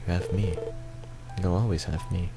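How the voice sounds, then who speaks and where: A man's voice: deep voice, fairly even intonation, normal speed, vocal fry, over loud music. A man voicing a sleepy, affectionate young wife who comforts her husband, read aloud from a visual novel. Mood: tender.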